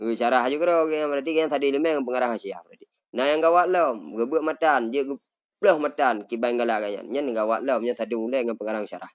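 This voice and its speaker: A middle-aged man with an Acehnese accent, a religious teacher who reads Arabic phrases slowly and then explains them in a study lesson. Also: mid-range voice.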